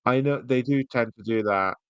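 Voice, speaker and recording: A man's voice: medium pitch, normal speed, clear, resonant tone, dry recording, dull audio. Dry-sounding man chatting casually. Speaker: podcast co-host. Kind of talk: casual chat, fan review.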